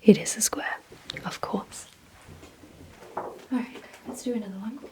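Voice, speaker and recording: A woman whispering in a breathy, hushed ASMR roleplay style, giving deliberate instructions directly to the listener. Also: mid-range voice, fairly even intonation. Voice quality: breathy, mostly unvoiced whisper. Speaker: ASMR performer.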